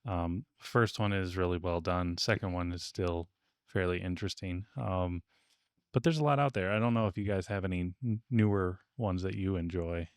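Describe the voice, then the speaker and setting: A man's voice: deep voice, moderate pace, clean tone, no echo, studio quality. A man co-hosting a podcast, chatting casually in a round-table discussion. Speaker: podcast co-host.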